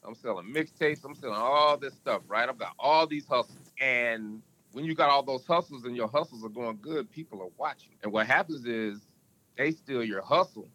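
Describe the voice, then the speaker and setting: A man's voice: moderate pace, sharp tone, rough recording. A man guest on a podcast, talking in a casual, emphatic, storytelling way. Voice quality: can go deep. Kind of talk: casual interview talk.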